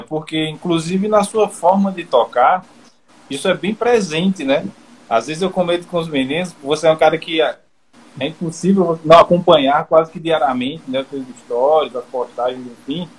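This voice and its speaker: A man's voice: on the high side, moderate pace, breathy edge, poor audio. A Brazilian man hosting a podcast, asking long, winding questions in an engaged, conversational manner. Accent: Brazilian Portuguese. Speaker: podcast host. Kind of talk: casual interview talk.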